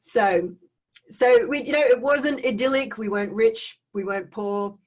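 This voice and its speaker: A middle-aged woman with an Australian accent giving a personal talk to online viewers in a conversational way, with animated asides and checking in with her listeners. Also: fairly high pitch, measured pace. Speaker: speaker hosting a live online talk on trauma recovery.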